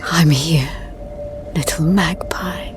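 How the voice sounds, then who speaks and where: A woman playing a controlling mother in a game cutscene, speaking slowly and deliberately with an eerie softness. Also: sits low, music in the background. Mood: eerie tenderness. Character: stern mother, eerily tender, coldly manipulative. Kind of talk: dramatic game cutscene acting.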